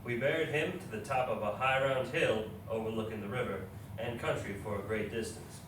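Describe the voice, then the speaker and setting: A man's voice: medium pitch, fairly quick, lightly acted. A man playing William Clark, a practical army officer and explorer in his thirties, reading journal entries aloud in a stage reading. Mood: solemn. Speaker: local stage actor. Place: live readers theatre performance in an echoey indoor space. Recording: very echoey room, distant mic, faint noise.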